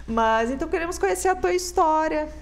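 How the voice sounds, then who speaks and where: A woman's voice: high voice, lively intonation, a little fast. A woman hosting a podcast who chats in a friendly way and laughs often as she questions her guest. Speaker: podcast host. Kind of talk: casual chat interview.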